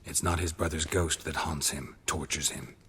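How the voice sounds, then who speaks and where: An actor playing a stoic, weathered monster hunter in a video game, terse and deadpan. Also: male voice, extremely low pitch, normal speed, slight background noise. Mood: grave, explanatory. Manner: terse, deadpan, matter-of-fact. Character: stoic, weathered male monster hunter, methodical and world-weary. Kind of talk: video game cutscene acting. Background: loud music.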